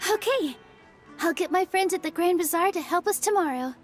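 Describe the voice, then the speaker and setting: A woman playing a sweet, earnest young dancer in an anime game, with a light, girlish, gently hesitant delivery. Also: extremely high pitch, lively intonation, brisk, soft background music.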